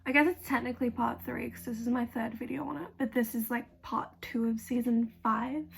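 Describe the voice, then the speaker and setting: A young woman talks through her fan commentary in a gravelly, croaky voice that is still hoarse after being ill. Her pitch sits a little high, and she speaks bluntly and casually, with plenty of fillers. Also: fairly even intonation, a little fast. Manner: chatty, blunt, swears casually, many fillers. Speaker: video essayist.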